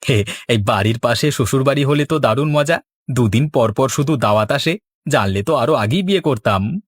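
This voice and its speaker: A man's voice: medium pitch, lively intonation, moderate pace, no echo, clean studio sound, lightly acted. A man voicing a cheeky, quarrelsome young village husband in a cartoon, with a teasing, argumentative manner. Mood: playful. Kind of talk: cartoon dialogue.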